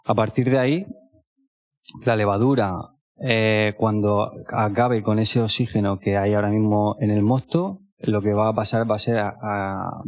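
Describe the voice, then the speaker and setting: Low-voiced man, halting explainer, dry sound. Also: a little mellow, no room sound. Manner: halting, often adds checking tags. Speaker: brewing expert. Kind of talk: unscripted explanation.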